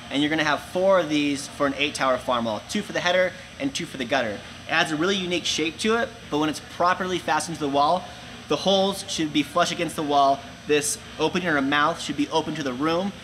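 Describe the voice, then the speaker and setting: Young man explaining a setup, bright voice. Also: on the high side, a little fast, slight background noise, rough recording. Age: young adult. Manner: clear step-by-step explaining with hand gestures. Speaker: company product presenter. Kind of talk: instructional how-to video. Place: large indoor room with a gray wall.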